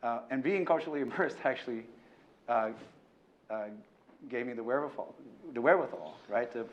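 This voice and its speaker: A man speaking on an academic panel, thoughtful and hesitant, often pausing with fillers and tag questions. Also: mid-range voice, expressive, muffled recording. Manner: hesitant, many fillers and "right?" tags. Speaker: panelist, former fellow.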